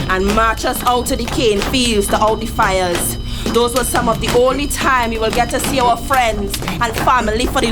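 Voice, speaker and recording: A woman's voice: a little high, natural voice. An actress playing Mavis, an older Trinidadian community matriarch who tells her people's history with passion. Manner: storytelling, impassioned, preaching history. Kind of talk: radio drama acting. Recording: music behind the voice.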